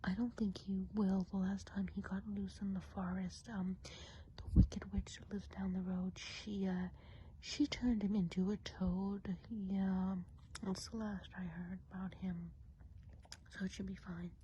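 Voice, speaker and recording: Woman playing a softly whispering, kindly Christmas witch. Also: medium pitch, flat delivery, a little hiss or hum, close to an everyday voice, poor audio. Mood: cozy, chatty. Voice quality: soft breathy whisper. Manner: gentle, unhurried, chatty, hesitant asides. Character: kindly, chatty female Christmas witch of the woods. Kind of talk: whispered ASMR role play.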